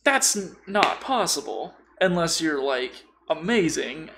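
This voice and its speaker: A man with a fairly high voice and a fry-laden creak chats informally as a game master on a podcast. He explains things by slipping into example dialogue. There is some noise behind him. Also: sing-song, a little slow, fairly bright tone. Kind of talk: casual chat about tabletop role-playing.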